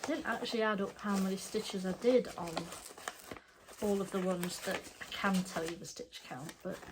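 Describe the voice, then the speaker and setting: A woman's voice: medium pitch, normal speed, background noise. Middle-aged lady, bit congested, rambly chatty craft vlog talk at home. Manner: chatty, rambling, self-correcting. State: stuffed up, congested. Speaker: cross stitch vlogger. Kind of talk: casual show-and-tell chat.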